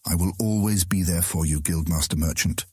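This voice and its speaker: A man voicing a sly lord who feigns humble loyalty, with a very raspy voice and formal speech in a fantasy audiobook. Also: sits low, moderate pace, a little mellow, no echo, clean studio sound. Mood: feigned support. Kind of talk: audiobook character acting.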